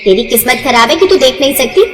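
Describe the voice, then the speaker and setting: A woman's voice: high voice, expressive, fast, reverberant, in a large hall. A woman voicing a cold, selfish young stepsister who speaks in a dismissive, cutting way, in a moral story. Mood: contemptuous.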